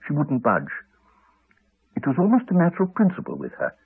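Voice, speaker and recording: An actor playing a haunted man in a 1980s radio drama, telling his story in a hesitant, halting, subdued way. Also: fairly high pitch, huge pitch swings, gravelly, breathy edge, exaggerated voice. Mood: uneasy, reflective. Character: Alex, haunted man haltingly recounting a horror. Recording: muffled phone-like archive radio recording.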